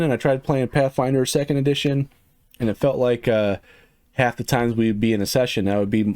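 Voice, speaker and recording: A man's voice: on the low side, warm tone. Rambling middle-aged man reviewing a game. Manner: rambling, lots of fillers, laughs to himself. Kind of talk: casual first-impressions walkthrough.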